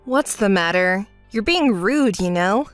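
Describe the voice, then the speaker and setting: A woman's voice: medium pitch, expressive, dry recording, soft background music, voice pushed down, close to an everyday voice. Woman acting a cool, sensible young woman. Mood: stern, reproachful. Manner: cool, measured, dismissive. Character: calm, reproachful, sensible young woman, wronged ex-wife. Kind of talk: melodramatic story dub.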